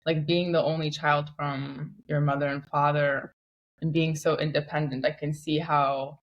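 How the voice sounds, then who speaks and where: A woman's voice: sits low, flat delivery, moderate pace, highs cut off. A woman hosting an interview show, talking in a conversational way with her guest. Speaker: podcast host and interviewer.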